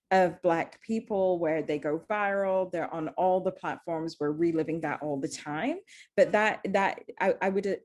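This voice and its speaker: Earnest low-voiced woman. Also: darkish tone. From Zambia. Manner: earnest, searching, many restarts. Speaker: panelist. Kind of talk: panel discussion.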